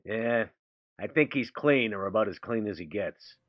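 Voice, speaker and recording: A man's voice: lowish voice, animated, moderate pace, no echo. A man playing a tabletop role-playing game, speaking casually in and around his character, on a dull-sounding microphone. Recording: dull, band-limited close microphone.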